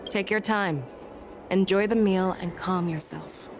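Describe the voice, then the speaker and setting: A woman playing a composed, seasoned warrior general in a game, measured and commanding. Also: mid-range voice, background noise, natural voice. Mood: gently chiding. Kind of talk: dramatic game voice acting.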